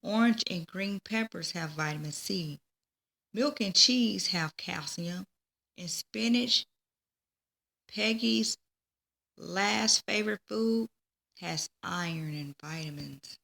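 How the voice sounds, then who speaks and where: A woman reading a children's storybook aloud, with expressive, deliberate phrasing. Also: on the low side, creaky. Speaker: storybook reader. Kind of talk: children's read-aloud narration.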